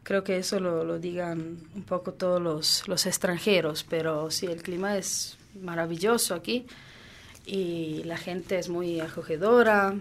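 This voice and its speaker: A woman's voice: fairly low pitch, steady tone, a little slow, slight background noise. A woman from Venice, native Italian speaker with an Italian accent, answering as a radio guest in a thoughtful way. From Venice, Italy. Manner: thoughtful, fillers, some laughter.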